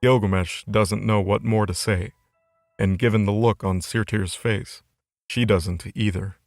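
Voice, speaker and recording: A male narrator reads a scripted fantasy tale in a slightly low voice. Music plays clearly underneath the narration, in audio drama style. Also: fairly even intonation, a little slow, darkish tone, no echo, studio quality.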